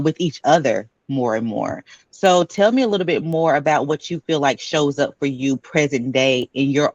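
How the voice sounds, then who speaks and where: A woman's voice: very deep voice, no echo. A woman hosting an interview in a conversational style over a remote video call with dull audio. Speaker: interview host.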